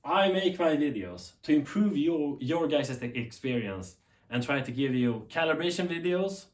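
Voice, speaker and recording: A man's voice: a little high, lively intonation, measured pace. A young man from Sweden with a Swedish accent, talking unscripted to camera in a rambling, earnest way that often corrects itself. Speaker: tech YouTuber. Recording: echoey room.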